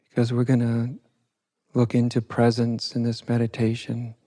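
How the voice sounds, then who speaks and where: A man guiding a meditation as a teacher, speaking in soft instruction with halting short phrases and long pauses. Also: mid-range voice. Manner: unhurried, halting, pausing between short phrases. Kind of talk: guided meditation, softly spoken instruction.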